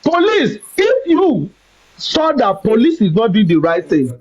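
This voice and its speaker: A man's voice: very high voice, huge pitch swings, darkish tone, husky. Sex: man. Role: live stream host. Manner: repeats words and interrupts with pleas to wait. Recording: dull, lo-fi stream audio. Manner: repeats words, cuts in with rapid "wait" and "hold on". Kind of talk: heated live call-in debate.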